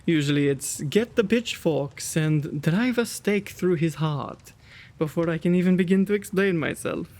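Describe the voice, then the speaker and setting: A man playing a kind, courtly, old-world gentleman vampire in an intimate ASMR roleplay, with genteel, old-fashioned phrasing and bashful stammers. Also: on the high side. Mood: gentle, apologetic. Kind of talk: ASMR roleplay, intimate one-sided dialogue.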